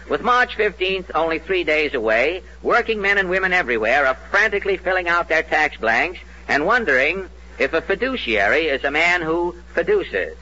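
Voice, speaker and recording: A man's voice: fairly high pitch, pushed and bright, a little hiss or hum. An American man narrating a scripted 1940s radio comedy in a mock-solemn, declamatory way. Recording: dull old radio broadcast.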